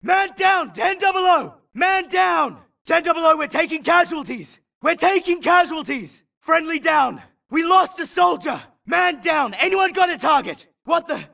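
An actor playing a frantic futuristic infantry grunt in a video game, yelling urgent, clipped military callouts in a gravelly voice. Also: male voice, very high-pitched, animated, unhurried, recorded from a distance, exaggerated voice. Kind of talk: shouted video game combat barks.

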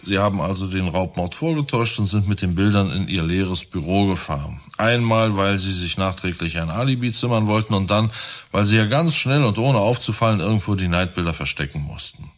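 A low male voice of an actor as a police inspector in a radio crime play. He is insistent and sardonic, pressing his suspects with pointed questions. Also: brisk, close to an everyday voice. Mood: sardonic, accusing. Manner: probing, sarcastic, insistent. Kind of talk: radio crime drama acting.

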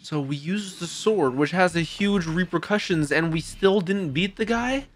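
A young man reacting out loud to an anime episode, exclaiming and swearing casually into a boom mic in a home streaming room. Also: unhurried, slight background noise. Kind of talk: excited reaction commentary. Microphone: boom mic with headphones. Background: anime soundtrack playing.

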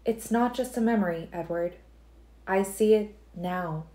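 A woman doing a calm, insistent seer girl, higher voice. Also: a little slow, close to an everyday voice. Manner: calm, implacable. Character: calm, foreseeing young woman, gently insistent. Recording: roomy echo.

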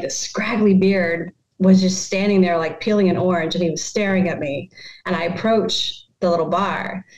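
A woman in her thirties hosts a podcast from her living room on a headset. She tells stories animatedly and giggles often. The sound is slightly dull with a roomy echo. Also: medium pitch. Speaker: podcast host. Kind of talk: casual storytelling chat.

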